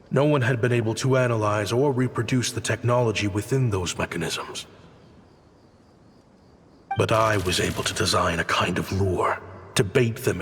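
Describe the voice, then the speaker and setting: Actor as wistful low-voiced scholar, reverberant. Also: male voice, a bit bright, slight background noise, lightly acted. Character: wistful former scholar inventor, narrating his past in the desert. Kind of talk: reflective scripted narration and character dialogue. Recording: long reverb, music underneath.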